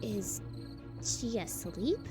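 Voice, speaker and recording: Woman acting as young female huntress, exasperated, concerned, very lively intonation, fan audio drama, strong music bed. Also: medium pitch, drawn-out words. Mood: baffled, grumbling. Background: music behind the voice.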